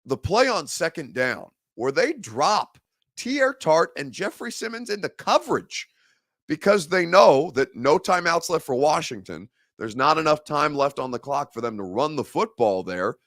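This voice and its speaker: A man hosting a live-stream sports talk show, hoarse and gravelly from a head cold, rambling and conversational as he reads viewer comments. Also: on the high side, big pitch swings, moderate pace, a bit bright, dry recording, clean studio sound. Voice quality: gravelly, growly.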